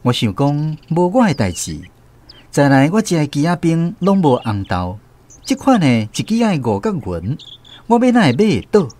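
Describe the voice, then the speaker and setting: A male actor with a Taiwanese accent playing a grown man who recounts his boyhood in an animated radio drama narration. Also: a little high, moderate pace. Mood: reflective, storytelling. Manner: animated first-person storytelling. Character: grown man fondly recounting his small-boy adventures. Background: plucked guitar music.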